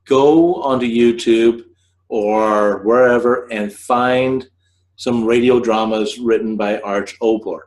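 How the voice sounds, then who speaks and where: A man hosting a scriptwriting podcast, explaining in a modest, deliberate way. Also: mid-range voice, rough recording. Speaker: podcast host teaching scriptwriting. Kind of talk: casual explanatory talk.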